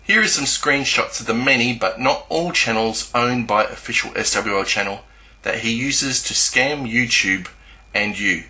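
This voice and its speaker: A man giving an online video commentary over screenshots, speaking in a halting, hesitant way. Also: mid-range voice, sharp tone, rough recording. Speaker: YouTuber presenting screenshots. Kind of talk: screen-recording commentary, partly scripted exposé.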